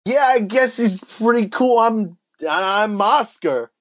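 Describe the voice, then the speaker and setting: A man's voice: high voice, slow pace, fairly bright tone, no room sound, studio quality. A man playing a dim, earnest Canadian podcast character with a put-on Canadian accent and a rambling, folksy manner. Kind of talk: comedy podcast character banter.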